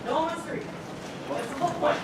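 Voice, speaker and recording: A woman's voice: high-pitched, fast talker. A woman hosting a live panel, casually organizing the crowd in a convention room. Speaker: panel host. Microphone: distant room camera microphone. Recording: echoey hall, distant mic, loud crowd. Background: loud crowd chatter.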